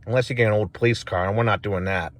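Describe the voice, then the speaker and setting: A man's voice: lowish voice, fairly even intonation, fast, fairly bright tone, no room sound. Rambling, plain-spoken American man. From northern United States. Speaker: CB radio enthusiast reviewing gear. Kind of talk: casual off-the-cuff product demonstration. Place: inside a parked car.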